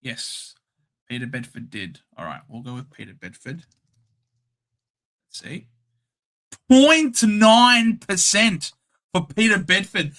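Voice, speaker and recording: Slow-talking, bright-voiced Australian man. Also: high voice. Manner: chatty, thinks aloud, reads viewer names. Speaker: livestream host. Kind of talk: casual livestream chat.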